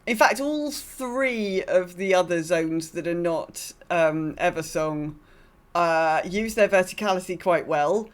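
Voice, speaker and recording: Low-pitched woman, casual podcast chat. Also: slow. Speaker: podcast co-host.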